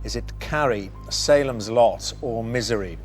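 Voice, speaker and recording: A man's voice: mid-range voice, a little slow. Male TV quiz show host, music behind. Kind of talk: presenting and quizzing contestants on a TV quiz. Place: TV studio. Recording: faint music bed behind.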